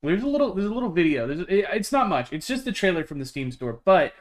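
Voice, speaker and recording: A man's voice: high-pitched, very animated, fast talker. A young man streaming a game, chatting casually with his viewers and rambling with lots of fillers and restarts. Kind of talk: casual chat with a live stream chat.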